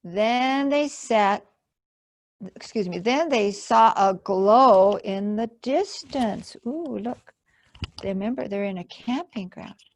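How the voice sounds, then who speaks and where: A woman's voice: medium pitch, lively intonation, slow pace, no room sound. A woman hosting a library storytime, telling a story to children and adding simple explanatory asides. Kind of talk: children's read-aloud storytelling.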